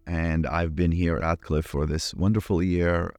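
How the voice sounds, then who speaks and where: A man's voice: low-pitched, flat delivery, normal speed, darkish tone, dry recording. A middle-aged man from Iraq, a professor answering interview questions in a hesitant way, with many fillers and restarts. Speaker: guest, anthropology professor.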